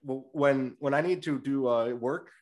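A man from Canada hosting a casual interview, speaking haltingly with many fillers and restarts. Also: medium pitch. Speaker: podcast host.

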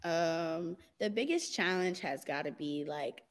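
A young woman talks loosely and candidly as a guest, her voice sitting a little low, breaking into easy laughter and sprinkling "y'all" and "like" through her answers. The tone is casual and conversational. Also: measured pace. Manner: relaxed and candid, laughs often, says "y'all" and "like". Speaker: guest, dancer and model. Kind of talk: casual interview chat.

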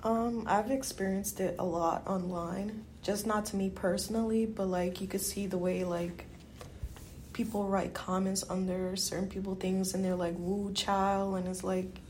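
A woman's voice: mid-range voice, steady tone, a little slow, a little mellow, slight background noise. A woman from Guyana chatting casually as a podcast co-host, explaining things in an informal way. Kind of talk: casual chat.

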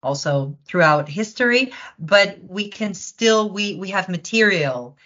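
A woman's voice: mid-range voice, lively intonation, a little slow, fairly bright tone, lo-fi sound. A woman, a therapist, interviewing on a podcast and often repeating words as she searches for them.

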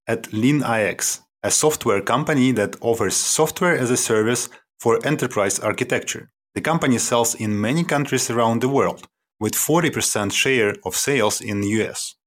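A man hosting a podcast interview, asking questions with halting, slightly non-native phrasing. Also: medium pitch. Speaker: podcast host.

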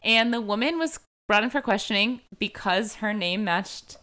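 A woman's voice: medium pitch, expressive, moderate pace, sharp tone. A young woman hosting a true-crime podcast, telling a case in a casual chatty way, full of "like" and "um" fillers. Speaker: podcast host.

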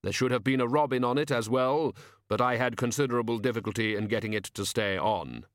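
A man voicing Death, a grave figure who speaks slowly and formally. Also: medium pitch, dry recording, clean studio sound, close to an everyday voice. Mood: solemn. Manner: slow, measured, formal. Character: Death, grave solemn skeletal male figure.

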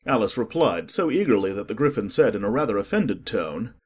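A man with a husky voice reading scripted audiobook narration of a story. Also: on the low side, very animated, fairly quick, a little mellow.

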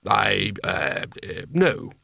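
Guy doing a stuffy old-school senior wizard, nervous n ingratiating, hesitant, audiobook fantasy, swoopy pitch. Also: expressive, moderate pace, fairly bright tone, no echo, studio quality. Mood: flustered. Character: pompous, obsequious senior male wizard, nervously flustered.

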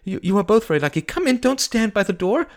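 A man's voice: fairly high pitch, normal speed, gravelly, slightly rough, pitched above the performer's own voice. A man voicing a giddy, overwrought young woman in an audiobook, theatrical, breathless and stammering, with a proud, tearful edge and brittle laughter. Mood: giddy, excited. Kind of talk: audiobook character acting.

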